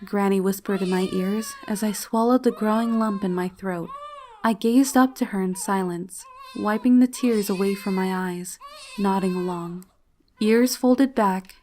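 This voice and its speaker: A woman's voice: medium pitch, a little mellow, slight background noise. A woman reading fan fiction as a dramatic first-person narrator, emotive and solemn in her storytelling. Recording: faint music behind the voice.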